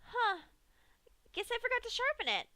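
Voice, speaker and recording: A woman's voice: very high-pitched, huge pitch swings, normal speed, bright, forceful tone, no echo, voice pushed up, full character voice. A woman voicing a cheerful, sadistic female pink pony in a bubbly, sing-song way. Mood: gleeful. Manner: chirpy, sing-song, unbothered.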